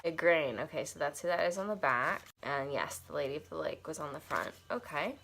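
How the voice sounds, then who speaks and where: Young woman, stuffy nose from allergies, low-ish voice, rambling bedroom chat, slight room hiss. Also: lively intonation, brisk, gravelly, fairly bright tone. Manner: chatty, wandering asides, thinking aloud. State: congested from allergies. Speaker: tarot and oracle deck reviewer. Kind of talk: casual unboxing chat with read-aloud passages. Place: cluttered bedroom, indoors.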